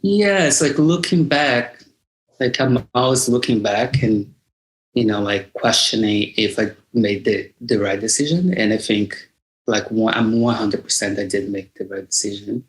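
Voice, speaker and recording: A middle-aged Brazilian man with a fairly low voice and a Brazilian Portuguese accent in his English. He is a singer-songwriter being interviewed, speaking conversationally and thoughtfully as he feels out his words. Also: unhurried, poor audio. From Bahia, Brazil.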